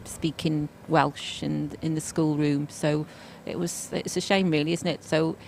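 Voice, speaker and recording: Very low-voiced hesitant woman. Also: little pitch movement, measured pace, vocal fry, darkish tone, slight background noise. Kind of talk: casual chat.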